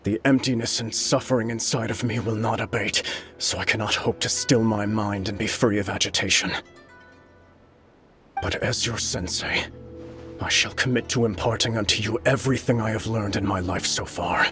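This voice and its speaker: An actor voicing a broken, remorseful sword master in a game, speaking in a troubled, hesitant, earnest way. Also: male voice, lowish voice, fairly even intonation, moderate pace, fairly bright tone, soft background music, close to an everyday voice. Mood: humble. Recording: game soundtrack behind.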